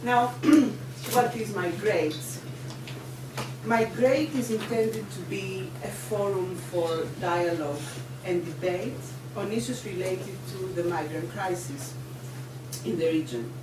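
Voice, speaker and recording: A woman giving prepared opening remarks as a project coordinator at a conference, speaking in a measured way with frequent fillers. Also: medium pitch. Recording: echoey room from far off, background noise.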